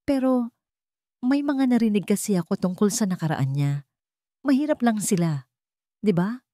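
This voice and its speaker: A woman's voice: mid-range voice, lively intonation, moderate pace, mellow, no echo, clean studio sound, voice pushed up, lightly acted. A woman narrator voicing a scheming female cousin in a story, speaking with a Filipino accent and hesitant, feigned concern. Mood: hesitant insinuation. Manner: hesitant, falsely worried. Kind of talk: storybook character dialogue.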